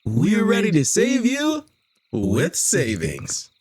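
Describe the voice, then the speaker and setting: A man playing a chirpy, smarmy infomercial pitchman in a parody shopping ad, with exaggerated salesman delivery. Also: wildly swooping pitch, takes it slowly, over loud music, full character voice. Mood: upbeat salesy.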